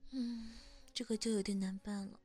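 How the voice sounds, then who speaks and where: A woman in a whispered ASMR roleplay, gently coaxing a younger boy as his hairdresser, in a soft big-sister tone, close to the microphone. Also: mid-range voice, steady tone, normal speed, soft background music.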